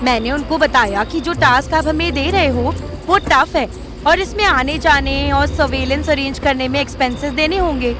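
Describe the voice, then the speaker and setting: A woman's voice: high voice, normal speed, over music, close to an everyday voice. A woman playing an assertive, sassy young business partner in an audio drama, blunt and insistent, with an Indian accent. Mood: cocky, teasing. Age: young adult. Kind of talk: audio drama dialogue, Hindi mixed with English.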